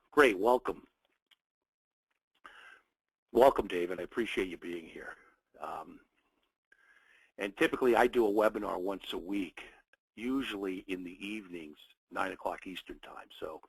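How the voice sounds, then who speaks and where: Man, webinar host, low voice, raspy, nasal from a cold, dry close sound, friendly, halting. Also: no echo, low-quality recording. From United States. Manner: friendly, welcoming, speaks in short phrases with fillers. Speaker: webinar host, trading educator. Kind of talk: live webinar presentation.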